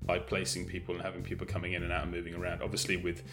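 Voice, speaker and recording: Male producer in relaxed interview: very deep, fry-laden voice, music underneath. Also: very fast. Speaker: audio drama producer, interview guest. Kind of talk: casual interview chat.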